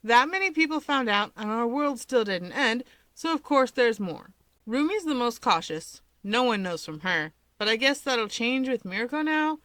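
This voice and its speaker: A woman's voice: on the high side, animated, normal speed, a bit bright, no room sound. Woman voicing an easygoing, dismissive young man. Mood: cheerfully nonchalant. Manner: casual, breezy explaining.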